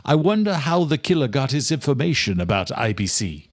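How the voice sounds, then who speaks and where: A man voicing an older, courteous, determined male doctor in an audiobook, speaking in a formal, measured way. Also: medium pitch, very animated, no room sound, clean studio sound, voice pushed up. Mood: musing. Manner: formal, measured, old-fashioned address. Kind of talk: audiobook character dialogue.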